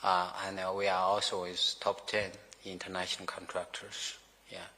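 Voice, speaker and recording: Halting, deep-voiced male executive. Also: completely flat delivery, pushed and bright. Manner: halting English, frequent fillers and grammar slips. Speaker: company executive. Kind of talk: formal opening remarks. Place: formal meeting room. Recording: reverberant meeting hall.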